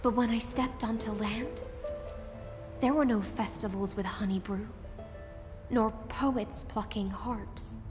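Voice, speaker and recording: A woman's voice: fairly high pitch, fairly even intonation. A woman playing a lonely, dutiful sea siren in human form, speaking a solemn, mournful game monologue. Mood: wistful sorrow. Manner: slow, mournful, poetic delivery. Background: orchestral game music.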